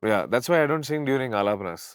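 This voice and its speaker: A man's voice: lively intonation, racing, clean tone, no echo. A man, a veena player and singer talking easygoingly in an interview. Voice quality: deep voice. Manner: reflective, easygoing, laughs often, mixes Kannada into English. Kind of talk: casual interview chat.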